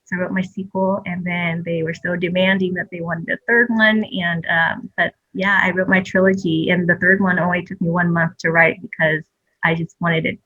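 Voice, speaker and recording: A woman's voice: on the low side, little pitch movement, normal speed, dry recording, narrow, tinny audio. A woman speaking casually as a guest novelist in a panel conversation. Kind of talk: casual chat.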